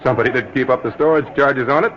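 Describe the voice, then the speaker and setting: An actor playing a friendly neighbor who talks heartily and chuckles, in 1940s radio acting. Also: male voice, medium pitch, racing, lightly acted. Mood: hearty. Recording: dull old radio recording.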